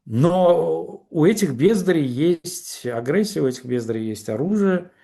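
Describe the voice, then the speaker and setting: A man speaking as a guest in a political conversation, making his case in a halting, argumentative way with many fillers and restarts. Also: fairly high pitch, expressive, gravelly, dark tone.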